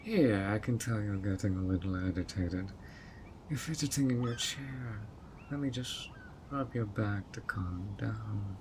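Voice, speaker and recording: A man's voice: mid-range voice, noisy background, lightly acted. A man voicing a handsome, obsessive male fairy who coaxes and dotes in a possessive way, in an audio roleplay. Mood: soothing. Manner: coaxing and doting, turning controlling.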